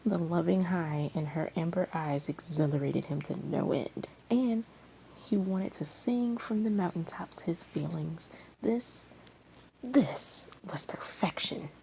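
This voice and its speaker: A woman narrates a story expressively in a lowish, mellow voice. There is some creak in her tone, and a faint noise sits behind her amateur recording. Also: takes it slowly, poor audio. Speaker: fan fiction reader.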